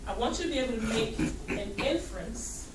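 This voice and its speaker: A woman's voice: fairly high pitch, animated, brisk. A woman teaching in a classroom, putting questions to students and repeating their answers back in a read-aloud discussion. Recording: echoey noisy classroom, distant mic.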